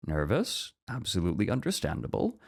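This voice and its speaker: A man playing a bookish, earnest, easily flustered professor in an ASMR roleplay, with a measured, articulate and slightly stuffy way of talking. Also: deep voice, huge pitch swings, gravelly, breathy edge, exaggerated voice. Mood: encouraging, attentive.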